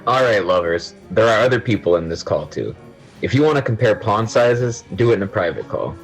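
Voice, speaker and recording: A young man playing a blunt, teasing but supportive gamer friend with a husky voice in an amateur audio drama. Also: on the low side, expressive, a little fast, soft background music, low-quality recording.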